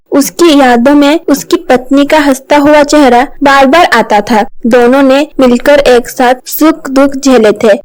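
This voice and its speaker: A woman's voice: sits high, darkish tone, low-quality recording. A woman storyteller reading a scripted story narration. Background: background music.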